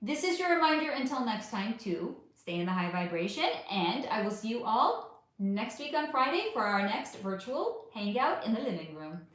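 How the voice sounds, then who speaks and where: A woman's voice: a little high, very animated, normal speed. A woman hosting a casual livestream chat, profane and affectionate, losing her voice. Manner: chatty, profane, affectionate with viewers. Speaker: livestream host. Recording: very echoey room.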